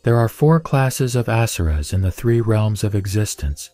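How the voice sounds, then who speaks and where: A man giving a steady, even reading of a Buddhist scripture. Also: fairly low pitch, moderate pace, dry recording. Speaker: sutra reciter. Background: soft background music.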